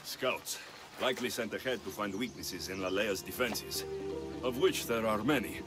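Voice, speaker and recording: A male actor playing a steady, dutiful ancient Greek mercenary hero in a video game cutscene. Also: on the low side, normal speed, lightly acted. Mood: resolute. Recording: loud music behind the voice.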